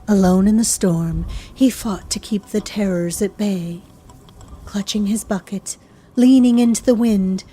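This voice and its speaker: Female narrator for horror audio drama: measured, mellow, ominous, over background ambience. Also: medium pitch, slight background noise. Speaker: storyteller, narrator.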